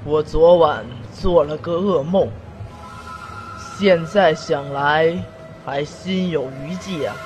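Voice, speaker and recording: A fretful demon lord drags out his words in a slow, brooding way, as a man acts him out. His voice sits a little high for a man. Faint music runs underneath. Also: darkish tone. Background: background music.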